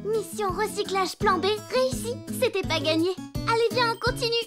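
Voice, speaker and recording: A woman's voice: extremely high pitch, normal speed, over loud music. A woman voicing an upbeat, determined little girl in a cartoon, talking like an encouraging young team leader. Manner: bossy, encouraging, mission-leader talk. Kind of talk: French cartoon dubbing.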